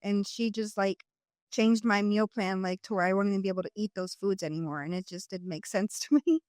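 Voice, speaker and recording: A woman hosts a podcast and chats in a relaxed, conversational way. Her voice is smooth and clear at an average pitch. The recording is close and dry. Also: fairly quick, no room sound. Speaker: podcast host. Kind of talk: casual interview chat.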